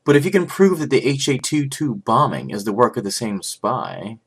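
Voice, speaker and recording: A man voicing a composed, formal prosecutor in a game fandub, speaking in a measured, matter-of-fact way. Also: mid-range voice, animated, slightly rough. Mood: grave, helpful. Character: composed, formal male prosecutor briefing on a case. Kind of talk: scripted game fandub acting.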